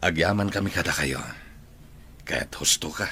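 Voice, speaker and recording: A devoted older Filipino suitor in a radio romance. His very low voice has a forceful, bright edge and draws out tender, earnest lines over faint hiss. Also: moderate pace. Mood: grateful, warm. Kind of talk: sentimental radio drama acting.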